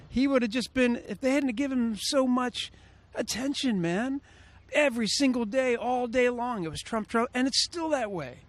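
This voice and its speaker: A middle-aged man from Philadelphia, rambling and getting animated in a street interview. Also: a little high. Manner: rambling, animated when riled. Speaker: passerby interviewee. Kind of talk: casual street interview answers. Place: outdoors on a city street.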